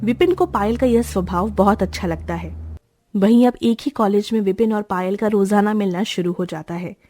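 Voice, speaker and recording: Woman narrator, mellow, slightly husky, dry studio voice-over, steady storytelling. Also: mid-range voice, normal speed. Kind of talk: scripted narration.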